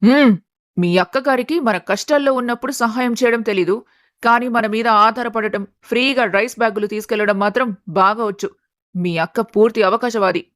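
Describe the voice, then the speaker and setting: A woman's voice: fairly high pitch, normal speed, dry recording, studio quality, close to an everyday voice. Woman as a blunt, resentful middle-aged mother.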